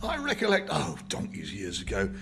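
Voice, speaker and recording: A man's voice: fairly low pitch, huge pitch swings, moderate pace, gravelly, faint music behind, voice pushed up, full character voice. A man voicing a garrulous elderly working-class Londoner, reminiscing in colloquial speech in a novel reading. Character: rambling old working-class Londoner in a pub.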